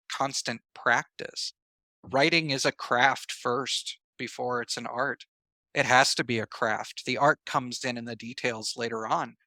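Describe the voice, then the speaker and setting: A man's voice: mid-range voice, sharp tone, dry recording. A man speaking as an interview guest, reflective and earnest, emphatically rephrasing his points as he explains. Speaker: podcast guest, writer and actor.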